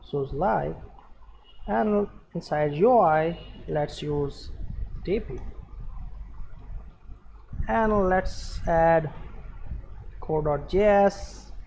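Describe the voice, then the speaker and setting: Guy walking thru code step by step, slow and halting, bit of gravel in the voice, faint background noise. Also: on the high side, a little mellow. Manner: halting, step-by-step instruction in short phrases. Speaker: coding tutorial presenter. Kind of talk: unscripted screen-share programming tutorial.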